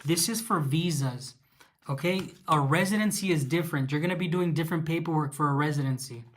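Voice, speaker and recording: A man's voice: fairly high pitch, a little fast. Mexican guy doing a live q&a stream, casual and chatty, lots of uhs and restarts. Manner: chatty, reads questions aloud and answers with fillers and restarts. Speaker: channel host answering viewer questions.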